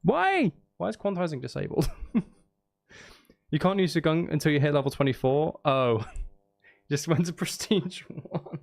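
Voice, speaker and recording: A man's voice: fairly high pitch, big pitch swings, unhurried, vocal fry, long pauses, dry recording, studio quality. A man chatting casually on a music-making livestream, thinking aloud in a hesitant, self-interrupting and apologetic way. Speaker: streamer making music live. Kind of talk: casual livestream chat while recording drums. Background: drum kit and music.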